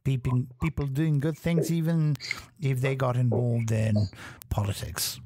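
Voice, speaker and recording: A man's voice: mid-range voice, steady tone, a little slow, mellow. A man from Serbia co-hosting a podcast, talking in a hesitant, cautious way full of fillers. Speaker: podcast co-host. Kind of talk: casual podcast chat.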